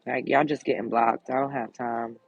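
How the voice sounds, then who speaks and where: A woman with a deep, sultry voice chats casually on her livestream and answers comments bluntly. Her tone is gravelly with a husky edge. She talks in a candid, easygoing way. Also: level tone, normal speed, dry recording, dull audio. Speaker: host.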